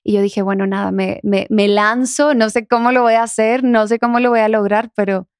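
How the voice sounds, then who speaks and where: A young adult woman from the Dominican Republic with a softened Dominican accent, talking casually as an interview guest. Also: medium pitch, steady tone, fairly quick, clean tone, no room sound, clean studio sound. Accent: Dominican, softened toward neutral Spanish.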